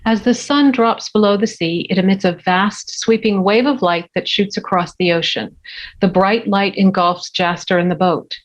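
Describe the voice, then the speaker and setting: A woman's voice: mid-range voice, moderate pace. A woman reading scripted screenplay stage directions as narrator at a table read. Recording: dull, lo-fi remote audio.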